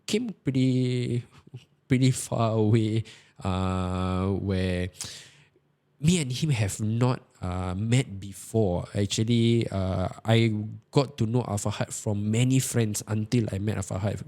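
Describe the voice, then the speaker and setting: A man's voice: lowish voice, warm tone. A man, co-hosting a podcast and talking in a casual, rambling way with frequent hesitations. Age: 20s. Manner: rambling, laughing, hesitant self-introduction. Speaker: podcast co-host. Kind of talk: casual chat.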